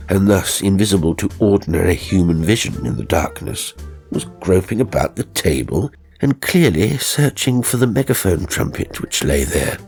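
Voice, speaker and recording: A male audiobook narrator tells a ghost story with measured theatricality. His voice is low and gravelly with a husky grain, and his intonation is richly varied. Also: darkish tone.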